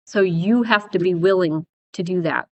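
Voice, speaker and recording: A woman dog trainer, raspy from a head cold, giving blunt, emphatic advice in casual talk to viewers. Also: lowish voice, normal speed, a little mellow, muffled recording. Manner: emphatic, blunt, repeats "right?" and "guys".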